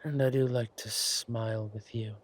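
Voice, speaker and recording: A man playing a villainous king in an audio roleplay, silky and amorous yet quietly furious, with deliberate, mock-polite speech. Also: medium pitch, fairly even intonation, close to an everyday voice. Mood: playfully menacing.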